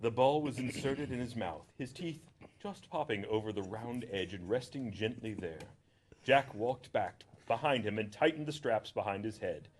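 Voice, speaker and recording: A man's voice: mid-range voice, moderate pace, slight background noise. A man doing a comedic read-aloud on a livestream, theatrical and often breaking off to comment with a chuckle. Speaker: reader on a charity livestream. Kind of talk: comedic read-aloud of fan fiction with ad-libs.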